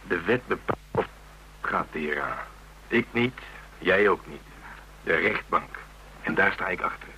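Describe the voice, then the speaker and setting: An actor playing a gruff, sardonic policeman in a radio drama, talking colloquially. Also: deep voice, lively intonation, measured pace, long pauses, sharp tone, full character voice. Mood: firm, stubborn. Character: gruff, grudging Amsterdam policeman nicknamed the Dragon, shaken, sardonic. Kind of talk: Dutch radio drama dialogue. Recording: hummy, noisy old radio recording.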